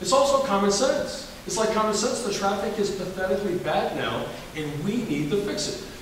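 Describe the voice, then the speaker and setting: A man's voice: high voice, moderate pace, far from the mic, a little hiss or hum. Emphatic Southern man in an echoey hall. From Alabama. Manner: emphatic, folksy, repetitive. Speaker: candidate for governor. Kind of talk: campaign forum answer.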